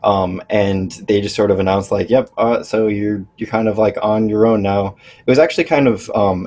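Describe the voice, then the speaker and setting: A man's voice: on the low side, a little mellow. A young man from Illinois talking as a podcast guest, rambling and full of fillers and restarts. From northern Illinois. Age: young adult. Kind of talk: casual chat.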